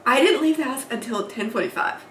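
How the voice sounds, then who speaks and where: A young adult woman with an Australian accent, giggly and animated, telling stories in casual chat with friends. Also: high voice, brisk. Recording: roomy echo with background noise.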